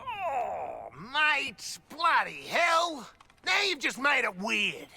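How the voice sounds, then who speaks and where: An actor playing a brash, exasperated criminal squad member with a rough, gravelly voice in a video game. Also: male voice, very high voice, sing-song, extremely slow, faint music behind, full character voice. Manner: loud, blunt, British or Australian slang. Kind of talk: video game cutscene acting.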